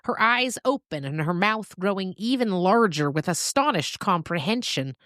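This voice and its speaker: A woman narrator reading a scripted story in a deliberate, dramatic storytelling manner. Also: medium pitch, lively intonation, fairly bright tone, dry recording, studio quality.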